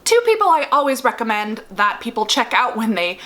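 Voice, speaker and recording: A woman's voice: fairly high pitch, big pitch swings, brisk, bright, forceful tone. A woman vlogger giving an opinionated, conversational explainer, emphatically stressing key words by repeating and drawing them out. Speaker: vlogger giving advice on books and writing.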